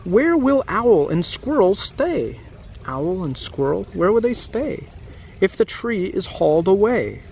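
Slow-reading man with a slightly creaky voice. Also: sits high, big pitch swings, a little mellow. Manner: slow, deliberate, simple phrasing with questions to young listeners. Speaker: host reading a picture book to children. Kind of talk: read-aloud storytelling with chatty asides. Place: outdoors in a grassy, wooded yard. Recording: outdoor camera audio with background noise.

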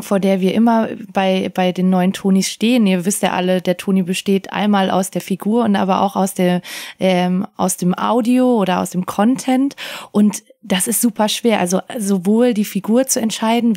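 A woman's voice: mid-range voice, warm tone, no room sound. Woman chatting in a dry recording. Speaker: podcast co-host. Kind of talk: casual podcast chat.

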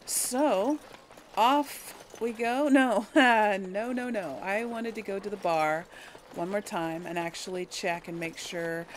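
A woman's voice: mid-range voice, slow, slight background noise, poor audio. A woman hosting a let's play, thinking aloud in casual, hesitant gameplay commentary. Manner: musing aloud, hesitant deliberation.

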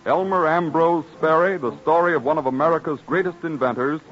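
A man's voice: fairly high pitch, fairly quick, lo-fi sound, soft background music. A man reading a scripted sponsor message as a 1930s radio announcer, formal and polished, on a vintage recording.

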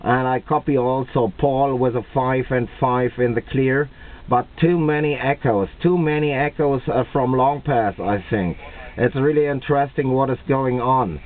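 Man, mid-pitched, radio operator giving signal reports, repeats himself, thin tinny audio. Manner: deliberate, repeats phrases for emphasis. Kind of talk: radio contact with call signs and signal reports. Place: at a radio set, filming it. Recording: narrow, tinny radio-room video audio.

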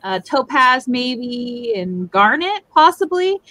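Young woman, slightly high, dry webcam sound; loose, rambling seller chat. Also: sing-song, slow pace, pushed and bright, dry recording. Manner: chatty, rambling, heavy use of "like" and "um". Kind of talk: casual live-stream chat. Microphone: webcam video call.

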